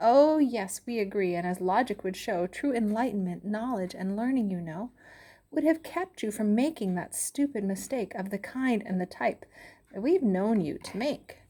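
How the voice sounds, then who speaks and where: A woman's voice: medium pitch, animated, moderate pace, mellow, lightly acted. A woman voicing a group of smug friends who mock and scold in rhyme. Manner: mocking, sing-song.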